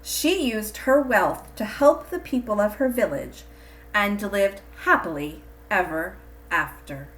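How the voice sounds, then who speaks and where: A woman's voice: mid-range voice, expressive, takes it slowly, fairly bright tone. Woman talking to children in a roomy space. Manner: simple, encouraging, teacherly explaining. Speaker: children's story reader and church teacher. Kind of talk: read-aloud storytelling and friendly talk to children. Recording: roomy, distant mic with faint noise.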